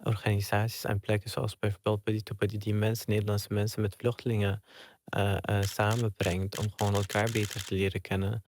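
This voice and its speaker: A man's voice: on the low side, little pitch movement, brisk, a little mellow, a little hiss or hum. A man giving an informal, conversational interview answer.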